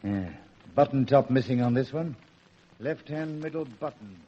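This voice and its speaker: A muffled old radio play recording of a man acting as a senior police officer. His husky voice is curt and authoritative, with an irritable bark. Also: mid-range voice, moderate pace, lightly acted. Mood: dry, musing. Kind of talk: vintage radio drama acting.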